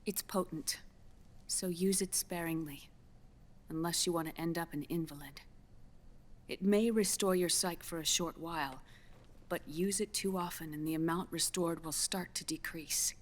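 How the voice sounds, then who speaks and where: An actress playing a grave, guarded scientist in a video game, measured and solemn, with a resigned air. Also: female voice, fairly low pitch, close to an everyday voice. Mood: grave, clinical. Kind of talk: dramatic video game voice acting. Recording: musical score underneath. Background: dramatic game soundtrack music.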